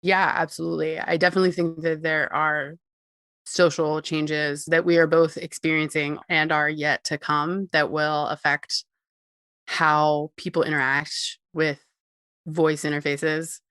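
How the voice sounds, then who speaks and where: A woman with a notably low voice talks as an interview guest. She explains things thoughtfully and conversationally, with casual fillers like "like". The sound is close and dry. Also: flat delivery, measured pace, fairly bright tone, clear, resonant tone, no echo. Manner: thoughtful, articulate, peppered with "like" and small chuckles. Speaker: interview guest, tech company CEO and co-founder.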